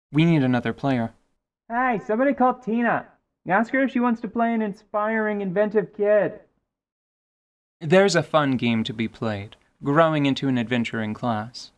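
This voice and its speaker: A man with a voice a little higher than most hosts a podcast about tabletop gaming. His commentary sounds partly scripted, with articulate, wordy phrasing. He slips in wry, playful asides as he goes. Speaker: podcast host.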